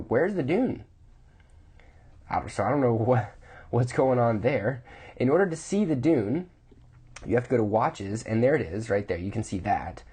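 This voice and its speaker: Mellow, low-voiced man reviewing watches. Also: slightly rough. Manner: relaxed, thinking aloud with restarts. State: voice a bit off from a cold. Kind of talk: casual video review.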